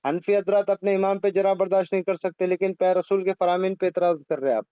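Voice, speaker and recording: A man's voice: high voice, steady tone, fast talker, clear, resonant tone, no room sound. Insistent man arguing over a thin phone line. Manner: argumentative, insistent, interrupting. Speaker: debater in a religious argument. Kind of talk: heated religious debate on a phone call. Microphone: phone call. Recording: thin phone call recording.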